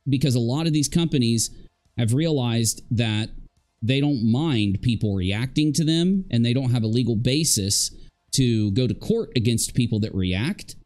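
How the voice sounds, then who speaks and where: Male livestream host, thirties, mid-pitched, sarcastic and emphatic, faint music underneath. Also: darkish tone. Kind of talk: casual live reaction commentary. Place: indoor room at home.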